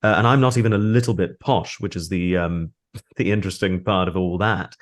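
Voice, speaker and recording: A man's voice: low voice, fairly quick. A man from Australia with a posh British accent and little nasal sound, chatting discursively and with dry politeness as a voice actor in an interview. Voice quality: weak nasals. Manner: wordy, discursive, politely wry. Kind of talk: casual interview chat.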